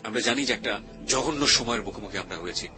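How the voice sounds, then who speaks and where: Low-voiced, grave Bangladeshi radio host, male, over music. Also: sharp tone. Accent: Bangladeshi Bengali. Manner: grave, deliberate, measured. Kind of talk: radio interview hosting. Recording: music under the voice. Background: background music.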